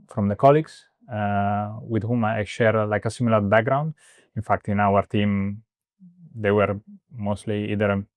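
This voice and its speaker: A man's voice: on the low side, no echo. A man from Italy in his thirties answering interview questions, hesitant, with many fillers and restarts. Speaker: guest, startup co-founder.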